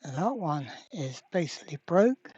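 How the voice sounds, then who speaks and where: Slow-talking repair presenter, dull recording. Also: mid-range voice, big pitch swings, darkish tone, breathy edge, dry recording. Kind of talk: casual hands-on workbench commentary. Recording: dull, muffled lo-fi sound.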